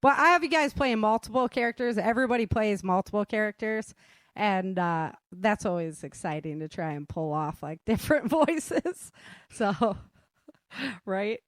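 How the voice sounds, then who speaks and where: A woman's voice: mid-range voice, expressive, normal speed, no room sound, clean studio sound. A woman hosting a casual group chat, talking in a chatty, giggly way. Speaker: host and author of an audio drama.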